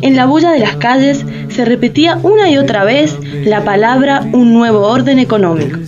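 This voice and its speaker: An actress playing an earnest news reporter, delivering scripted radio drama narration and interview questions. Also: female voice, on the high side, animated, moderate pace, lo-fi sound, a crowd in the background, lightly acted. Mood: solemn.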